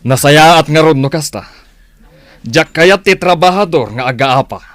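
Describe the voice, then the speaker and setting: A man's voice: on the high side, lively intonation, a little slow, fairly bright tone, dry recording. A man playing a stern, commanding office boss in a Filipino radio drama, with an Ilocano accent. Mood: scolding.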